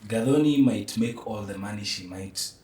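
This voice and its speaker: A man's voice: lowish voice, moderate pace, mellow. A man with a Kenyan accent in reflective conversation, speaking emphatically and philosophically and slipping between English and Swahili. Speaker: podcast guest, theatre practitioner. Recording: roomy, echoey, distant from mic.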